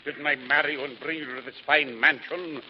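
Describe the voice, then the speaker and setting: A man's voice: a little high, fairly even intonation, a little fast, natural voice. A man voicing a theatrical rich husband, flowery and pompous, vintage radio with faint hiss. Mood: hammy. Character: hammy pompous rich older husband, lovey-dovey. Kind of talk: 1940s radio comedy acting. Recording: dull old radio recording, faint hiss.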